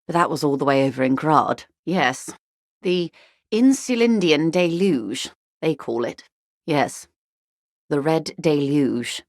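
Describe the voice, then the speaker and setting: Posh rich middle-aged negotiator lady from a video game, low voice, dry clean recording, wry and formal, very composed. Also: expressive, no room sound, exaggerated voice. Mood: wry, somber. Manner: measured, eloquent, dryly ironic, formal. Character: poised wealthy middle-aged female corporate negotiator, wry and worldly. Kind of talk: video game character dialogue.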